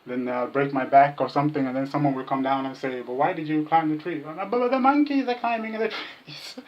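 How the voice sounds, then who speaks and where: A man's voice: on the high side, brisk. A man from Kenya talking casually as an interview guest, repeating himself and jokingly mimicking the people he argues with. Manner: jokey, repetitive, mimics opponents' remarks. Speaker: vegan activist, interview guest. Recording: dull, muffled lo-fi sound.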